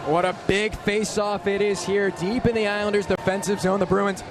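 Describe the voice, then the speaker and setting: A man calling live ice hockey play-by-play on the radio in an urgent, clipped, excitable manner. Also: sits high, normal speed. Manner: excitable, urgent, clipped running description of action. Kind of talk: live sports play-by-play commentary. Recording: radio broadcast with loud arena noise. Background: loud ambience of a hockey arena.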